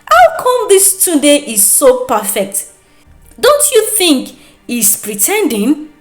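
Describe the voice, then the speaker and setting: A scheming young Nigerian woman, as a female storyteller plays her, nosy and pushy in a folktale. She goads and gossips theatrically, with a highish voice that swoops up and down. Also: wildly swooping pitch, takes it slowly, echoey room, soft background music, full character voice. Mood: gossipy, admiring.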